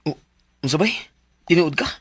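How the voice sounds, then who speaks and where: A man's voice: a little high, expressive, normal speed, fairly bright tone, breathy edge, dry recording. A man with a Cebuano Filipino accent acting a worried, stubborn working husband in a radio drama, speaking colloquially and expressively. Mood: worried, weary.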